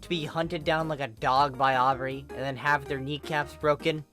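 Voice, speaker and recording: A woman narrating a comic story aloud in an expressive reading style. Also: deep voice, normal speed, soft background music. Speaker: fan fiction reader.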